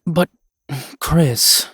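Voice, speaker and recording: A man's voice: fairly high pitch, very slow, bright, forceful tone, husky, dry recording, clean studio sound, exaggerated voice. A man playing a grumpy, jealous ghost boyfriend in an audio roleplay, with a sardonic, sullen manner. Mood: sullen, pleading.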